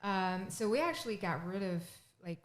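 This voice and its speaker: A middle-aged woman whose first language is Chinese, answering as a podcast guest in short, relaxed replies. She sits close to a studio mic on a boom arm in a home studio, with a mid-range voice. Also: normal speed. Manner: brief, relaxed, laughing answers. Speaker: guest, property management business owner. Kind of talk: casual interview answers. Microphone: studio mic on boom arm, headphones.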